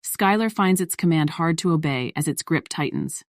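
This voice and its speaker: A woman's voice: low voice, lively intonation, moderate pace, no echo, clean studio sound. A woman reading scripted story narration in the role of narrator.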